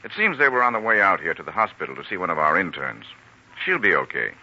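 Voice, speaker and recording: A man's voice: sits low, big pitch swings, quick pace, sharp tone, full character voice. An actor playing a kindly, world-weary older senior doctor in a 1940s radio drama, musing and hesitant in a fatherly way. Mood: casual, matter-of-fact. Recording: dull old radio recording.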